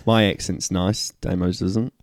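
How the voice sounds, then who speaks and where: Podcast co-host, male, New Zealand accent, lowish voice, dry close sound, deadpan teasing. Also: unhurried, no room sound. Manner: dry, teasing, short replies. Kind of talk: casual rugby podcast chat.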